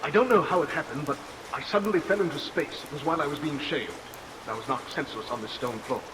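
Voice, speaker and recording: An actor playing an earnest, resolute sailor hero with formal, commanding delivery in a vintage radio melodrama. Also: male voice, on the high side, fast, background noise, close to an everyday voice. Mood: stern, earnest. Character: earnest, resolute heroic sailor, wronged man. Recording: distant echoey vintage radio, heavy rain.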